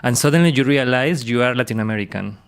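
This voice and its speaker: A man, a university professor chatting casually in English with many pauses and fillers. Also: mid-range voice, smooth. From Colombia. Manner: hesitant, many fillers and restarts.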